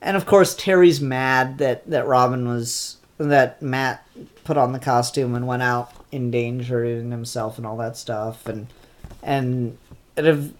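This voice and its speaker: A comic book reviewer with a stuffed-up, congested voice, talking through new issues in an offhand way with lots of ums and restarts. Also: mid-range voice. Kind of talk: casual spoken comic review.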